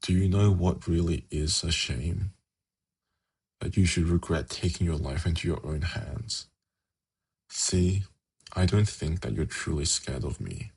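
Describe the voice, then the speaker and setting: Seductive demon prince, male actor, fantasy ASMR roleplay. Rumbling bass with heavy fry, slow, sly, intimate coaxing. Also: darkish tone, full character voice. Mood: gently persuasive. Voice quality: gravelly, husky. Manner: slow, intimate, teasing, coaxing with formal wording.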